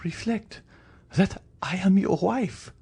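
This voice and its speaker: A man voicing a terrified gentlewoman begging her husband for mercy, with wailing, faltering pleas in a dramatic story reading. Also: very animated, unhurried, slightly rough, heavily acted. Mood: desperate pleading. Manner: high, wailing, stammering pleas. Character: terrified, pleading wife, a guilty gentlewoman poisoner.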